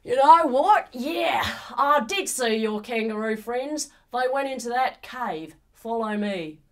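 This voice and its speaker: A woman voicing a sharp-toothed but helpful dingo, a casual wild dog in a children's story. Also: fairly high pitch, expressive, takes it slowly. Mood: helpful, assured.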